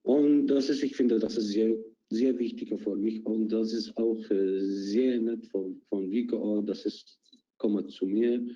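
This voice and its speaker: A man speaks slowly and haltingly in a low, very dark voice. He hesitates often, padding his answers with fillers. The sound is dull and lo-fi, like an online call. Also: fairly even intonation. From Iraq. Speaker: project participant. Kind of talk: interview answers in learner German. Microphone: video call.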